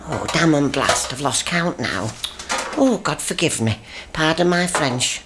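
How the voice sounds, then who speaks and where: A woman's voice: sits low, lively intonation, unhurried, heavy background noise. A woman playing a fussy, prim housewife and mother in a radio comedy sketch, scolding and a little pretentious. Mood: fussing. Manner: prim, scolding, pretends to more refinement than she has.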